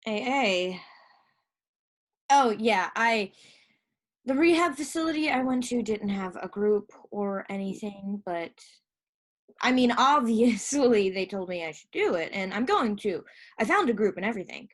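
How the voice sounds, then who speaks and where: A woman acting as a shy, awkward young woman in a stage play. She speaks slowly and hesitantly, with a self-deprecating manner. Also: medium pitch, expressive. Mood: flustered, hesitant. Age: young adult. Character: Claire, awkward young woman fresh from rehab.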